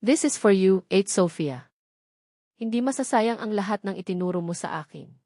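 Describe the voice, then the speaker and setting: A woman with a Filipino accent voicing a calculating, vengeful younger female executive in an audio drama, cold and deliberate. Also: medium pitch, lively intonation, dark tone, no echo, studio quality.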